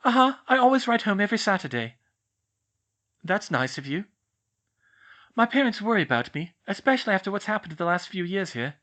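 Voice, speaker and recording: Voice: medium pitch, very animated, brisk, long pauses, a bit bright, voice pushed up. A narrator voicing a clever, bossy teenage witch girl who speaks in an earnest, insistent, scolding way. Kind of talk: fan fiction audiobook reading.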